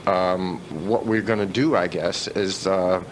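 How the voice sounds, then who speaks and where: A middle-aged man with a deep voice speaks as a musician guest on a radio show. His talk is informal and conversational. A light layer of noise sits under the old broadcast recording. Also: fairly even intonation, a little slow. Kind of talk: casual radio interview talk. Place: radio studio. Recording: slightly noisy old radio aircheck.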